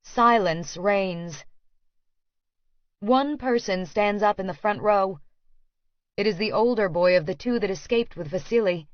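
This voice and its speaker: Female audiobook narrator for first-person fiction; mid-pitched, very dry, slightly muffled recording. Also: measured pace, pauses often, no room sound.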